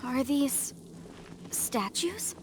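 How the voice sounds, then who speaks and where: Young female game adventurer, high-pitched, earnest, resolute, formal, light background noise. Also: animated, drawn-out words, exaggerated voice. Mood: uneasy. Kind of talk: scripted video game voice acting.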